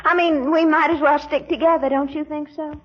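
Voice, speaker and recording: An actress playing a gushing, coquettish Southern belle with a fluttery drawl in a 1940s radio comedy. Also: female voice, high-pitched, level tone, fairly quick, natural voice. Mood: coy. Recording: muffled lo-fi 1940s radio recording.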